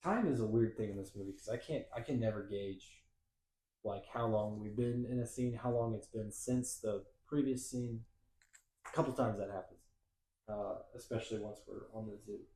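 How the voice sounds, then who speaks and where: A man's voice: lowish voice, normal speed, warm tone, reverberant, low-quality recording. A man hosting a casual podcast, walking through a film's plot with playful asides. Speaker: podcast host. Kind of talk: casual movie recap chat.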